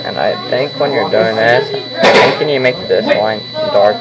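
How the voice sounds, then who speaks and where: A man's voice: fairly low pitch, normal speed, heavy background noise. A man chatting casually in a classroom, informal and questioning. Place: noisy school classroom. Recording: loud classroom chatter over the voice.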